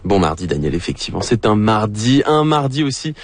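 French-accented male radio host, husky, chatty and hesitant. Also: lowish voice, big pitch swings, fairly quick, no echo. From France. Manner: hesitant, with frequent fillers and restarts. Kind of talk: casual radio talk. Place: radio studio.

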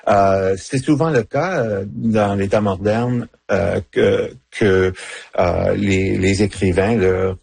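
A middle-aged man, a novelist whose first language is English, speaking French haltingly in a radio interview with many fillers and restarts. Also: fairly low pitch, gravelly, darkish tone, husky, dry recording. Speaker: novelist guest.

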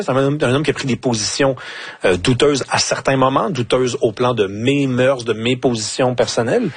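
A man's voice: medium pitch, breathy edge, no room sound. A man with a Quebec French accent giving opinionated commentary, emphatic and repetitive as he searches for words.

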